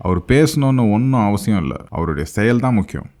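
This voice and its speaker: A man's voice: lowish voice, animated, a little fast, darkish tone, lightly acted. A man voicing a cautious, sensible schoolboy friend in a cartoon. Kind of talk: cartoon dialogue.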